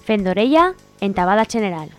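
A woman's voice: medium pitch, big pitch swings, brisk. A woman with an accent from Spain, co-hosting a community radio show and reading news headlines. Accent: Spanish, from Spain. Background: background music.

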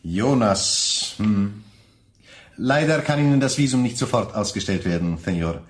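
A man's voice: on the low side, unhurried, a little hiss or hum, lightly acted. A man playing an oily consular official in a radio play, formal, overly courteous and evasive. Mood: politely evasive. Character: oily, evasively polite male Latin American consular official. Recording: roomy, echoing sound.

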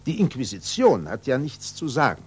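A man's voice: mid-range voice, wildly swooping pitch, normal speed, darkish tone, husky. A man playing a condescending university official, formal and patronising, in a 1940s German radio play. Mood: formal, evasive. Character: smooth-talking, condescending male university official.

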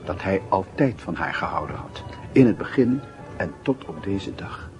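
Casting: solemn literary narrator for radio drama, very low male voice, introspective and dramatic. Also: lively intonation, moderate pace, lo-fi sound, over music. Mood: intense, wistful. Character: solemn storyteller of a lovesick youth's inner life.

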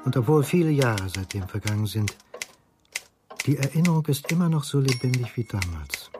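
A man acting in a radio drama as a resolute letter writer confessing the truth, solemn and deliberate. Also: medium pitch, lively intonation, natural voice. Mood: solemn, resolved. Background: music.

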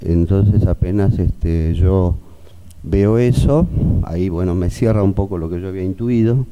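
Very deep, muffled-dark Argentine man giving testimony. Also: slight background noise. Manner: halting, repeats words, searches for details. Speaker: witness, pediatrician. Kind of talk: spoken testimony.

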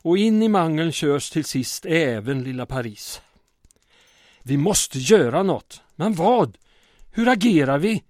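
An actor playing a small-town mayor under occupation in an audio drama, earnest but wry and weary. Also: male voice, fairly high pitch, expressive, darkish tone, dry recording, clean studio sound, lightly acted.

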